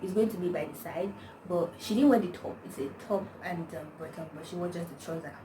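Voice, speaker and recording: A woman's voice: on the low side, a little fast. A young woman with a Nigerian accent, chatting to camera and rambling through stories with lots of "like" and slang. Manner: rambling storytelling, constant "like", slangy. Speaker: vlogger. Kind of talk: casual chat to camera. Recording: distant mic, noisy background.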